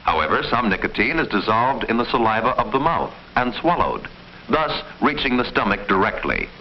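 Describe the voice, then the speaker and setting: A man reading formal, measured, didactic scripted narration in the style of a mid-century educational film. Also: mid-range voice, big pitch swings. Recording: muffled, noisy old film soundtrack.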